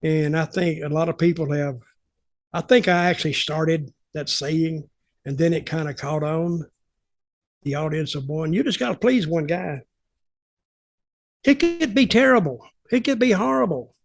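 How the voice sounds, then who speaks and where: A man's voice: a little high, moderate pace, gravelly. An older man telling stories casually on a podcast, blunt and opinionated, repeating points for emphasis. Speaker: podcast host, veteran wrestling booker.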